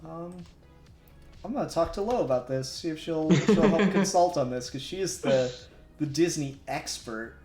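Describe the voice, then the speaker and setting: A man's voice: a little high, lively intonation, moderate pace, darkish tone. A man chatting casually as he hosts a live stream while working. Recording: roomy echo, music underneath. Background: music.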